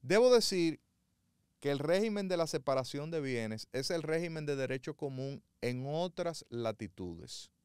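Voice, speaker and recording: A man giving measured, didactic legal commentary, pausing often with fillers as he explains. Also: on the high side, lively intonation, darkish tone, clear, resonant tone, dry recording, studio quality. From Dominican Republic.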